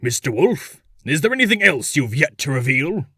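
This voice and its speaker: A man playing a pompous, hot-tempered nobleman in a comic dub, with a husky, declamatory voice. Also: a little high, sing-song, moderate pace, pushed and bright, no echo, clean studio sound, full character voice. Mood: blustering, commanding. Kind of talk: amateur comic dub acting.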